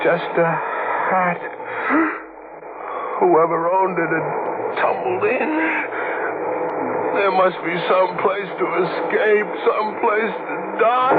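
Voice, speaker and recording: Desperate wounded fugitive, noisy old radio. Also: male voice, a little high, phone-quality sound. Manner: intense, swinging from grim narration to anguished cries. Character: desperate wounded male fugitive treasure hunter, narrating his ordeal. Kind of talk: 1940s radio thriller acting. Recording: noisy, band-limited 1940s radio recording.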